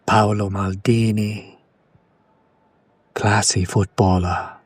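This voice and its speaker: A man acting a slow, hushed, breathy yandere kidnapper. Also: on the low side, little pitch movement, no echo. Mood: hushed, admiring. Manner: hushed, condescending, lingering over names. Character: condescending yandere male kidnapper, smitten with his captive. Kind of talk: whispered ASMR roleplay, slow soft recitation.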